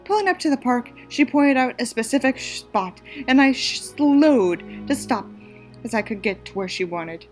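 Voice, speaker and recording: A woman's voice: on the high side, lively intonation, moderate pace, faint music behind. A woman from the United States doing a mocking dramatic reading, theatrical, with sarcastic asides. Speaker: reader and commentator.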